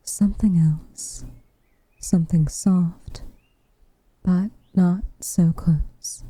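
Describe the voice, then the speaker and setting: A woman playing a reserved, tenderly affectionate witch in a whispered ASMR roleplay, with soft breathy delivery and measured, formal phrasing. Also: on the low side, fairly even intonation, no echo, exaggerated voice. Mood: gentle, tentative.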